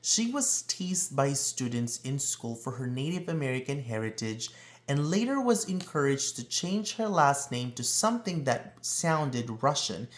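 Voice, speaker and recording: Guy reading a kids picture book out loud, really lively up and down pitch, expressive storyteller style. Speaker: read-aloud presenter. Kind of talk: children's picture book read-aloud.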